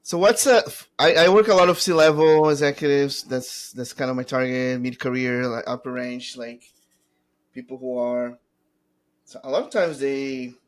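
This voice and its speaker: A man with a Brazilian Portuguese accent hosts a casual podcast interview. He talks with eager excitement and circles around his questions, restarting often. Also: mid-range voice, moderate pace. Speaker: podcast host.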